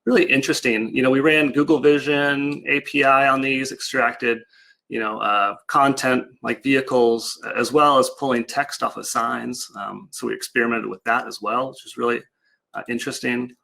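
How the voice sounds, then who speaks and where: A man's voice: mid-range voice, steady tone, normal speed, vocal fry, sharp tone. A man giving an informal, loosely improvised online slide presentation in a casual, explanatory way. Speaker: project presenter.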